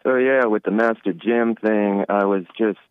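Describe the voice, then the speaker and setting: A male caller explains things casually in a low-pitched voice. The sound is a tinny, band-limited phone line with little room around it. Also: steady tone, normal speed, clean tone, no echo.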